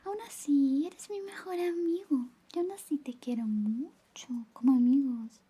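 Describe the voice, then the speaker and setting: Woman acting out high, whispery, flirty best friend. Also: lively intonation, measured pace. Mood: coaxing, teasing. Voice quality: soft, breathy whispering mixed with soft voice. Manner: coaxing, intimate, lingering. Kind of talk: Spanish ASMR roleplay, whispered personal attention.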